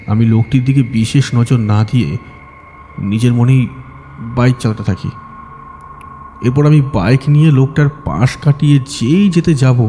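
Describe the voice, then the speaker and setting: Male audiobook narrator for horror: lowish, dark mellow tone, dramatic storytelling, long reverb. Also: slight background noise.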